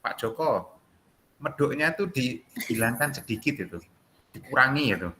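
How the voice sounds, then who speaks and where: A man with a thick Javanese accent leads an online class over a video call, chatty and full of jokes. His voice is bright and pressed. A faint hiss of background noise sits under it. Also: mid-range voice, expressive, normal speed. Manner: jokey, chuckling, chatty. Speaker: course facilitator. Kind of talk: joking online class chat.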